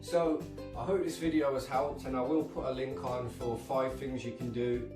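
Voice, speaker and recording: An earnest man speaks straight to his viewers in a motivational vlog. His voice sits a little back from the mic in a roomy, echoing space, and guitar music plays clearly behind him. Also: medium pitch, flat delivery, moderate pace, distant mic. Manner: earnest, repetitive, direct address to viewers. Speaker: vlogger. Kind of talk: motivational talk to camera.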